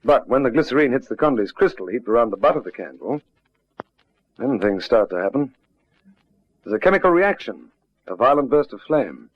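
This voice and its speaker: Guy playing confident police sergeant, measured and pressing, close dull old radio sound. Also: medium pitch, animated, no echo, close to an everyday voice. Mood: explanatory. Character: steady, dryly confident male police detective sergeant. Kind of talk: old radio drama acting.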